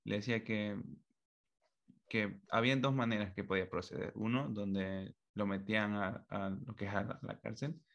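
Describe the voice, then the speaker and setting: Low gravelly-voiced male host. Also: moderate pace, lo-fi sound. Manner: frequent backchannels, rambling. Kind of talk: casual conversation.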